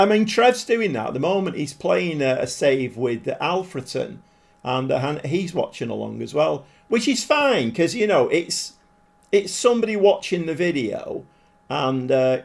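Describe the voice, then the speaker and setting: Bloke streaming a football sim, casual chatty commentary, gets carried away. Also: male voice, a little high, moderate pace, gravelly. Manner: chatty, excitable, self-deprecating, reads out viewer chat. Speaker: streamer playing a football management game. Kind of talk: casual live commentary while chatting with viewers.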